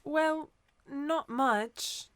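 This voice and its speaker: Polly, a high dreamy girl, voiced by a man. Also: very slow, a bit bright, no room sound, exaggerated voice. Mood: earnest. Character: dreamy, earnest young girl.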